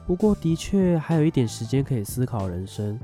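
A man's voice: mid-range voice, very animated, fairly quick, warm tone, natural voice. A man with a Taiwanese accent playing a young army conscript in a radio drama, earnest, chatty and good-natured. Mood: earnest, grateful. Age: young adult. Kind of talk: scripted radio drama dialogue. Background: background music.

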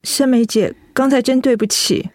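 A woman's voice: on the high side, normal speed, no room sound, clean studio sound, natural voice. An actress playing a young, ailing office woman who hides her troubles, speaking hesitant, apologetic Taiwanese Mandarin in a radio drama. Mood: apologetic, subdued. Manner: hesitant, apologetic, softly evasive.

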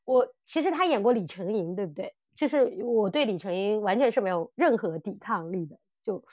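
A woman's voice: medium pitch, lively intonation, fairly quick, darkish tone, no room sound, muffled recording. A woman hosting a podcast in casual fan chat, gushing and exclaiming with animation. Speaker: podcast host. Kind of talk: casual fan chat about audio dramas.

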